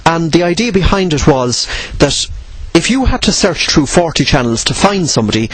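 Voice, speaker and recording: A man with a slightly husky voice giving an informal tutorial, explaining in a measured way and posing questions he then answers. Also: fairly high pitch, very animated, dry recording, poor audio. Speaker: presenter of instructional radio videos.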